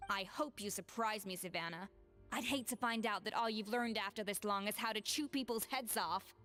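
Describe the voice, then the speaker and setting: A woman's voice: mid-range voice, moderate pace, fairly bright tone, soft background music, lightly acted. A woman voicing a cool, self-assured young master chef in video game dialogue, composed, dry and mildly exasperated. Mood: confident, teasing.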